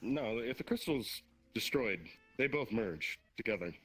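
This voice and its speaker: A man's voice: mid-range voice, expressive, a little slow, fairly bright tone, husky, soft background music, low-quality recording. A man speaking casually in character during a voice-chat roleplay, laid-back and rambling. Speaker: roleplayer playing the demon king.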